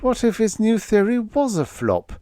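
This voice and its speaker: A man reading scripted story narration for an audiobook in an expressive, dramatic manner. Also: sits high, moderate pace, no echo, studio quality.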